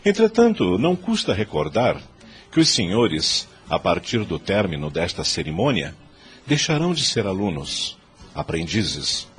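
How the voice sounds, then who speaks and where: An actor playing a solemn, high-minded law professor giving a formal, emphatic graduation speech in an audio drama. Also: male voice, wildly swooping pitch, measured pace, a bit bright, full character voice. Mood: solemn, exhorting. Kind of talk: ceremonial oratory in an audio drama. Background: music.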